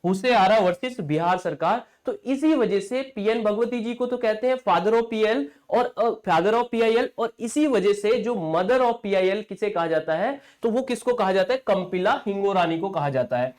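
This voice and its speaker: A man's voice: sits high, expressive, normal speed, hardly any pauses, darkish tone. Male coaching teacher for exam lectures; explanatory, repetitive delivery built on self-answered questions. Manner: asks rhetorical questions and answers them himself.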